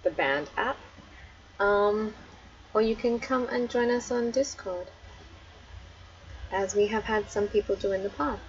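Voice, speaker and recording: A woman's voice: medium pitch, a little slow, pauses often. An Australian woman hosts a casual online chat, taking her time and sounding friendly and welcoming. Her voice sits in an ordinary middle register. The stream audio is a little dull, with a faint hiss underneath. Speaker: book club host.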